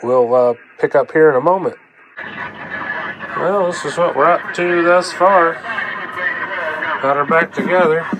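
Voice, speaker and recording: A man's voice: a little high, unhurried, pauses often, low-quality recording. A man with a cold talks in a plain-spoken, rambling, folksy way through a casual hands-on demonstration. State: coming down with a cold. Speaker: vlogger demonstrating a repaired radio. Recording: clear background noise.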